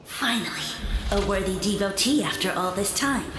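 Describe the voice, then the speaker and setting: A woman's voice: mid-range voice, animated, moderate pace, roomy sound, noisy background, lightly acted. A woman voicing a pompous, self-proclaimed sage lord of fungi who talks down to everyone with grand, condescending speech. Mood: grandiose.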